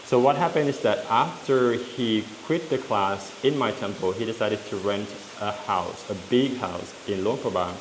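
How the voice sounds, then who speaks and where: Lao guy vlogging, lowish voice, lots of uhs and you knows, echoey room w faint hiss. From Laos. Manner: talks in halting stretches with many fillers and restarts. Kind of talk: casual explanatory vlog.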